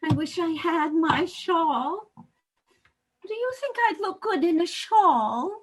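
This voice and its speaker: A woman's voice: extremely high pitch, lively intonation, takes it slowly, exaggerated voice. A woman playing a fussy, timid old lady in a comic readers theater piece, with a fluttery, genteel, easily upset manner. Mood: wistful, genteel. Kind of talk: comedic readers theater acting.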